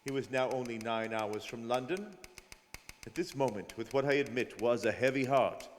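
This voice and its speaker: A man's voice: medium pitch, moderate pace, lightly acted. A man playing a stern, dogged detective who narrates a radio drama in an emphatic, clipped style. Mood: grave, official. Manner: emphatic, telegraphic. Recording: hall reverb, faint noise, community studio.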